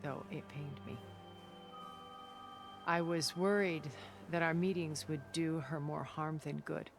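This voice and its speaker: A cultured, ancient recluse, measured and regretful. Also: female voice, sits low. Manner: calm, deliberate, elegant phrasing. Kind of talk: video game cutscene dialogue. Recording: game cutscene with music underneath.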